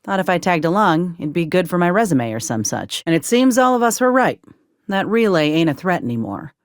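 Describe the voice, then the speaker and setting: An actress playing a gruff, loyal sci-fi soldier and medic in a video game, speaking in a folksy, plainspoken, measured way. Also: female voice, on the low side, very animated, dry recording, studio quality. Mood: earnest, measured. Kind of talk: video game companion dialogue.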